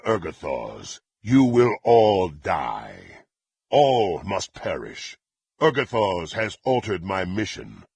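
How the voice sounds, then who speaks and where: A proud, bloodthirsty warrior from a fantasy video game. His lowish voice is rough and gravelly, swinging widely in pitch as he delivers imperious threats in formal, archaic phrasing. The recording is dry and close. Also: no echo, exaggerated voice. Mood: menacing, forceful. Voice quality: gravelly, husky. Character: menacing, proud, bloodthirsty warrior serving a dark patron.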